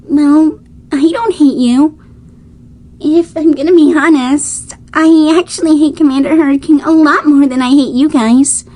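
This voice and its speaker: Woman as a high-voiced, sweet hesitant pony. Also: fairly even intonation, unhurried, mellow. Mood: sheepish, candid. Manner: hesitant, candid. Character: timid, sweet, candid young pony. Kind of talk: audio drama acting.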